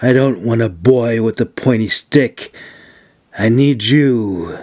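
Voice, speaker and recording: Man playing a slow, seductive warrior woman. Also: mid-range voice, darkish tone. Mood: seductive, commanding.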